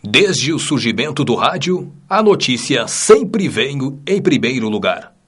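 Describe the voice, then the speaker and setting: A man giving measured, scripted documentary narration. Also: medium pitch, sing-song, heavy background noise.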